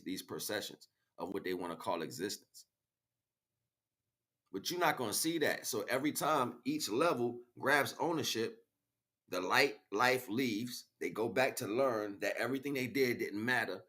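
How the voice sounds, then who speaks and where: An American man giving an emphatic, freestyle spiritual monologue in street slang, repeating phrases as he goes, with a husky voice. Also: medium pitch, fairly bright tone. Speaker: spiritual livestream speaker.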